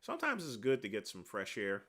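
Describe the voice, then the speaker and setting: A deep-voiced man hosts a casual livestream and rambles through viewer questions one by one. His pitch moves a lot as he talks, and his tone is bright and forceful. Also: wildly swooping pitch, brisk. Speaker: livestream host answering chat.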